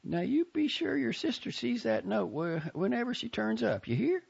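A man doing a fretful older aunt, high and pinched. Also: sing-song, vocal fry, a little mellow, breathy edge, exaggerated voice. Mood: scolding. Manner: high, pinched voice with drawn-out scolding lines. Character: fretful older Southern aunt, scolding but loving. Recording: dull lo-fi recording.

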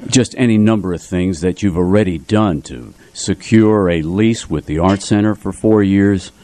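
A man hosting a casual radio studio conversation, speaking in a hesitant, halting way close to the microphone. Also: deep voice, mellow. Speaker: radio show host.

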